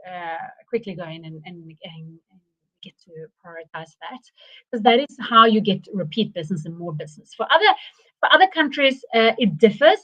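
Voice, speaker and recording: A woman's voice: medium pitch, pushed and bright, low-quality recording. A woman with a South African accent, presenting a live marketing webinar in a chatty way, with many restarts and fillers.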